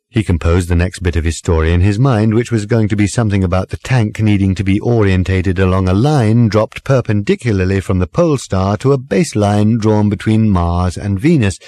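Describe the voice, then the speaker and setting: A man reading comic fiction as a measured, expressive audiobook narrator. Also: fairly low pitch, no echo. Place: dry studio.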